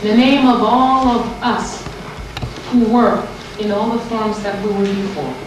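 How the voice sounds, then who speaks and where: Slow, solemn woman in an echoey hall. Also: medium pitch. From Haiti. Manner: solemn, measured, incantatory. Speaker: guest lecturer. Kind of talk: ceremonial invocation. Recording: echoey hall, distant mic, noisy.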